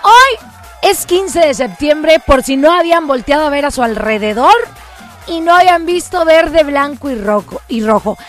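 A Mexican woman with a Mexican accent hosting a lively radio show, playful and joking, shouting cheers now and then from the studio booth. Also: high voice, moderate pace, soft background music. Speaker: radio host.

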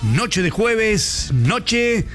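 A man's voice: sits high, very animated, drawn-out words, fairly bright tone, soft background music. A man with an Argentine accent delivering a radio sports bulletin. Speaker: sports reporter.

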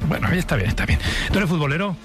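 Man hosting with very animated, swooping delivery. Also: on the high side, quick pace, music in the background. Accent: Spain. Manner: playful, teasing. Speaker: radio show host. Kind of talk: casual studio chat.

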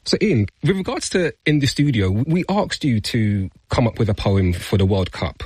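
Husky male radio interviewer. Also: medium pitch, lively intonation, moderate pace, darkish tone, dry recording. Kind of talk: broadcast interview questions and links. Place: radio studio.

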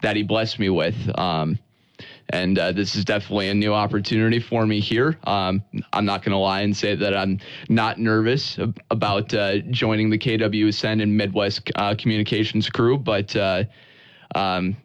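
An American man with a fairly low, clear, resonant voice speaks as a guest in a radio interview. He is a sports broadcaster who talks earnestly and conversationally, often doubling back on words. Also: fairly even intonation, dry recording. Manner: earnest, halting with repeated words.

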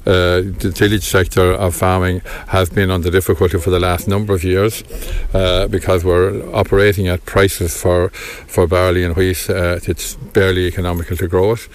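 Man, farm-group spokesman, very low and level delivery, halting with restarts, talking outdoors on a farm. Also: level tone. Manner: measured, halting with many restarts. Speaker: farm organisation representative.

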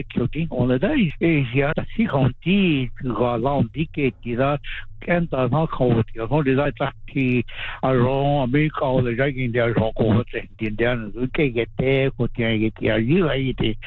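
A man giving halting radio interview answers with many restarts. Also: mid-range voice, dry recording. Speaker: interview guest. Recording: tinny phone-like line, dry.

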